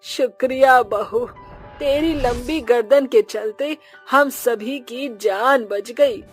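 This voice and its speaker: A man voicing an old village aunt in a cartoon, speaking slowly and with a quaver. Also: extremely high pitch, faint music behind, pitched above the performer's own voice. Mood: frightened.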